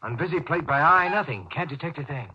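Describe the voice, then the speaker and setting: Quick, deferential spaceship officer. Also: male voice, fairly high pitch, expressive, pushed and bright. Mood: alert. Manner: clipped, respectful reporting. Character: deferential, earnest junior spaceship officer, male. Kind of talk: 1950s radio drama acting. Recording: muffled, hissy 1950s radio recording.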